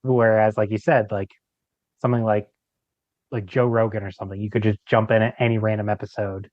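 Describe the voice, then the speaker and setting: A man's voice: fairly low pitch, level tone, fairly quick, dry recording. Informal man chatting, dry slightly dull recording. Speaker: podcast guest, creator of an audio drama. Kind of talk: casual chat.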